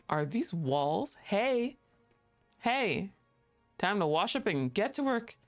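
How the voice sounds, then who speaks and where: A game streamer giving casual, playful livestream commentary, reacting aloud to the game and chatting with viewers. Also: mid-range voice.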